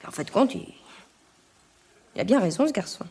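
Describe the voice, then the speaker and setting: An actress playing a teasing, sensible young second wife in a French radio play. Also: female voice, mid-range voice, very animated, brisk, vocal fry, mellow, husky, full character voice. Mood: light, wry.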